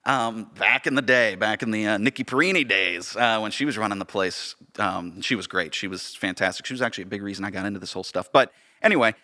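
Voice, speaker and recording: An American man chatting casually and telling stories in an animated, self-deprecating way, often acting out bits of dialogue. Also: lowish voice, quick pace, few pauses. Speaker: podcast guest and theater director. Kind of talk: casual conversational storytelling.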